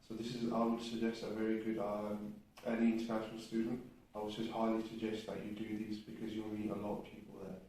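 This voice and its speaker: A man's voice: fairly low pitch, completely flat delivery, fast, mellow. A young man with an English accent giving casual, loosely phrased, hesitant interview answers as a recent graduate. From England. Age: young adult. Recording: echoey, distant mic, faint noise.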